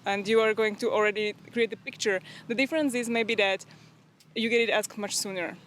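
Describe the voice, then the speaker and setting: A woman's voice: medium pitch, fairly even intonation, a little fast, a bit bright, slight background noise. A woman with a non-native English accent interviewing a friend, speaking thoughtfully and hesitantly. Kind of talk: casual conversational interview.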